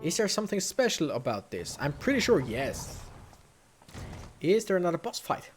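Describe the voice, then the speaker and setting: A man's voice: on the high side, sing-song, moderate pace. A man hosting a let's play with casual, rambling commentary, thinking aloud as he plays. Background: faint video game music.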